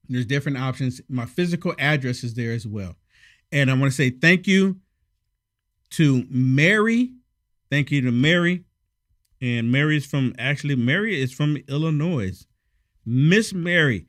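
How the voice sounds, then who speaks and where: A man hosting casual political commentary, sarcastic and emphatic, a little hoarse from a head cold. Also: medium pitch, fairly bright tone.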